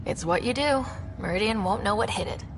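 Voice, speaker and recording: A woman's voice: medium pitch, lively intonation, a little fast, background noise, close to an everyday voice. A woman playing an upbeat, hopeful young explorer who leads a space crew in a video game. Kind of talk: video game cutscene acting. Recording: music behind the voice.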